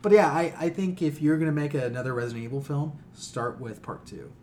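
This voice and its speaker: A man's voice: fairly high pitch, brisk, dark tone, slight background noise. A man co-hosting a podcast in casual chat, opinionated, slangy and given to swearing. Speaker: podcast co-host.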